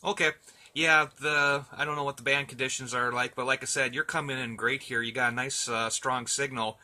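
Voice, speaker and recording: A man's voice: mid-range voice, fairly even intonation, brisk, pushed and bright, slight background noise. A man in a casual radio chat, talking in an easygoing, chatty and hesitant way as an amateur operator.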